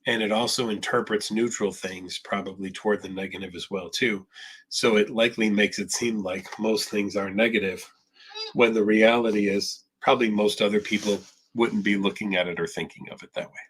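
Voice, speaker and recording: A man's voice: on the low side, steady tone, normal speed, a bit bright, husky, rough recording. A man, a psychologist, answering viewer questions in a live Q&A, explaining patiently with a touch of sarcasm, a little stuffed up. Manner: explanatory, mildly sarcastic when acting out obsessive thinking. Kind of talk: live Q&A talk.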